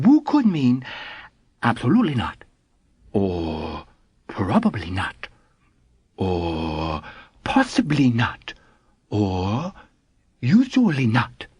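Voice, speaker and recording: A man's voice: medium pitch, a little mellow, husky, no room sound, clean studio sound, full character voice. A man voicing an older scholar who lectures in broken English. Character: shy, smiling older male Japanese Zen scholar. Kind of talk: audiobook character voice.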